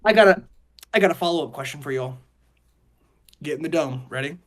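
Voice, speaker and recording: A man with a notably high voice and lively, swinging intonation. He hosts a casual group chat, joking in slang and repeating himself for emphasis. His delivery is excitable and loose. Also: fairly quick. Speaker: podcast host.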